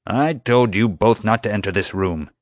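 A man voicing a pompous, gruff, territorial security chief with a curt, commanding manner in an audiobook. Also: lowish voice, moderate pace, no echo, clean studio sound, voice pushed up, lightly acted. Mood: officious, annoyed. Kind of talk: audiobook character dialogue.

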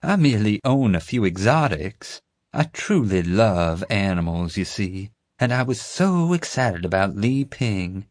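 A man voicing an elderly, genteel Southern lady with a slow, honeyed drawl. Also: lowish voice, very animated, darkish tone, no room sound, clean studio sound. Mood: gracious.

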